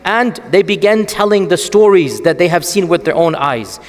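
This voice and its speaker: Man, Islamic lecturer, fairly high voice, animated storytelling, rhetorical questions, long hall reverb. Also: normal speed.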